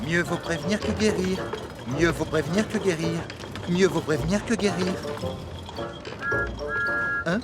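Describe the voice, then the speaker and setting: A nervous, careful cartoon steam engine for a French dub. The voice sits high and sounds earnest and hesitant. Music plays clearly underneath. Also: male voice, a little slow, long pauses. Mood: cautious. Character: anxious, overcautious male steam locomotive, friendly and polite. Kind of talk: French animated children's series dubbing.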